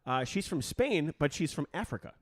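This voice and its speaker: Lively, animated man with a high voice. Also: moderate pace, a crowd in the background. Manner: upbeat, laughs often, stumbles and repeats words. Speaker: podcast co-host. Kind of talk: casual podcast chat.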